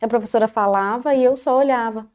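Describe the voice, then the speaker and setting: Calm woman telling her story. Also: on the high side, fast, clear, resonant tone. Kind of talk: first-person life story in a TV interview.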